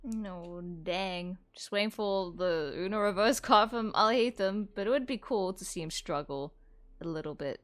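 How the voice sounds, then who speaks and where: A woman's voice: medium pitch, moderate pace, a bit bright. A woman giving casual let's-play commentary, chatty and thinking aloud. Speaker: gaming streamer.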